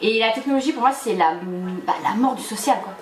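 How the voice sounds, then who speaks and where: High-voiced woman in an echoey room. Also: quick pace. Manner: expressive, opinionated, hesitant fillers. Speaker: guest. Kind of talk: casual chat over a cocktail. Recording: echoey room, faint noise.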